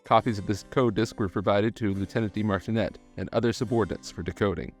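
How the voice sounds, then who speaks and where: A man reading scripted documentary-style narration in a measured, articulate storytelling manner. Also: fairly low pitch, fairly even intonation. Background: background music.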